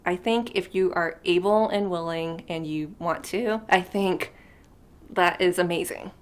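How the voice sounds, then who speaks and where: A woman in her thirties talking casually to her camera, her voice sitting a little low. She rambles and thinks out loud, leaning on fillers, and now and then drops into a soft near whisper. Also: unhurried, clean tone. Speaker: vlogger. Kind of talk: unscripted casual chat to camera.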